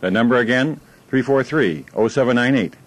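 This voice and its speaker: A man speaks in a middle-pitched voice, reading a scripted local radio commercial. He addresses the listener directly as an insurance agent presenting his own business. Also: fairly quick, low-quality recording. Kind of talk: scripted radio advertisement read.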